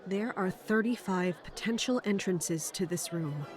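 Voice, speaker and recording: A woman's voice: mid-range voice, unhurried, soft background music, some chatter behind, lightly acted. A woman playing a stern, vigilant young guard in an audio drama, serious and matter-of-fact. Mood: watchful. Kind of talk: audio drama narration and dialogue.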